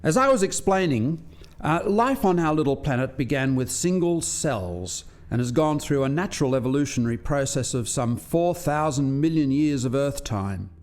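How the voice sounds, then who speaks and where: An actor playing an articulate alien scientist in a radio play, explaining things precisely as if lecturing a visitor. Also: male voice, medium pitch, animated, moderate pace, a little mellow, lightly acted. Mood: explanatory.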